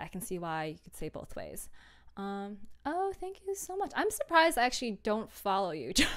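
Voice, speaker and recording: Clear-voiced young woman chatting on a livestream. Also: medium pitch. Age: young adult. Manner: chatty, rambling, giggly, apologetic. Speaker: livestream host, audio drama creator. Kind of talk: casual livestream chat with viewers. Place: small room indoors at home.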